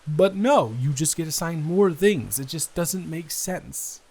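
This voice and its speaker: A man's voice: a little high, animated, a little slow, darkish tone, slightly rough, exaggerated voice. A man playing a responsible, wry eldest son who rambles hesitantly in an improvised intimate audio roleplay. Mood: musing, mildly frustrated.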